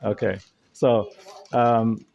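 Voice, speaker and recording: A man's voice: lowish voice, fairly even intonation, drawn-out words, vocal fry, a little mellow, muffled recording. German-native man explaining things casually. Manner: earnest, explanatory, with many restarts. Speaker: host. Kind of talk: casual talk to camera. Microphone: camera held by a friend.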